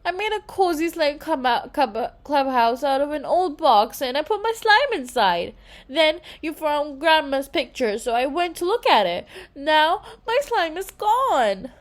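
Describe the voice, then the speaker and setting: An eager, anxious little girl of eight from a storybook, voiced by a woman reader. She speaks very high with lively swings, pleading and exclaiming with open feeling. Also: measured pace. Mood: upset, pleading. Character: eager, anxious eight-year-old girl, devoted to her slime.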